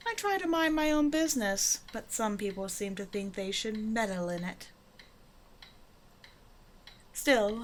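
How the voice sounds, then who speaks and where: A woman's voice: mid-range voice, slight background noise, close to an everyday voice. A woman playing a flirtatious, self-assured supervillain in an intimate roleplay audio drama, talking casually and teasingly to the listener. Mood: confident, mildly exasperated. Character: flirtatious female supervillain, a demanding but generous tailor's customer.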